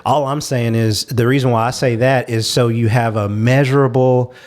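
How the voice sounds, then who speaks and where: A man's voice: mid-range voice, steady tone, moderate pace, darkish tone. A man leading a casual panel discussion, making his points emphatically and often repeating himself to stress them.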